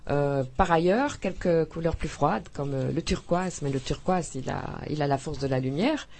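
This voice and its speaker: A woman's voice: deep voice, normal speed, darkish tone. A woman author from Belgium in a radio interview, talking effusively with frequent hesitations, restarts and laughter.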